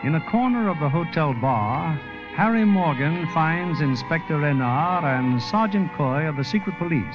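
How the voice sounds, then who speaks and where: A man with an American accent hosts a scripted 1940s radio show. His voice sits a little high for a man. The old recording is muffled and lo-fi. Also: lively intonation, normal speed, over music.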